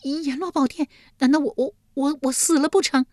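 A woman voicing a frightened young wife on trial who stammers in a high, trembling voice. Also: sing-song, moderate pace, no echo, studio quality.

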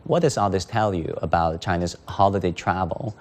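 Deep-voiced male news host. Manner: brisk introductions, occasional fillers. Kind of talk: live news interview hosting.

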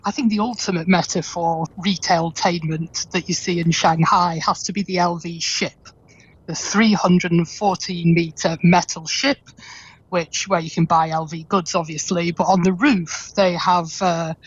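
Husky-voiced woman on a dull remote line. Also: on the low side, no room sound. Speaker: international business consultant, guest. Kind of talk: interview answer. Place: indoors, office with window.

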